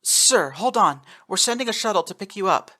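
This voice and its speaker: A man's voice: a little high, normal speed, pushed and bright, voice pushed up. A man doing an urgent young woman officer. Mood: pleading. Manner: urgent, pleading, raised voice.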